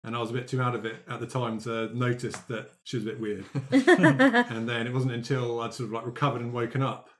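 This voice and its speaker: Mid-pitched man, casual and halting. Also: lively intonation. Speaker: tabletop roleplay player. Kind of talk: casual chat about his character's backstory.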